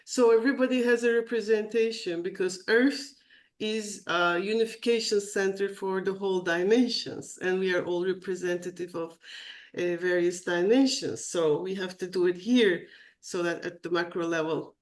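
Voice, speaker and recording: A woman co-hosting a spiritual podcast on a video call, speaking haltingly as she searches for her words. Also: medium pitch, steady tone. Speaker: co-host. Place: at a home computer.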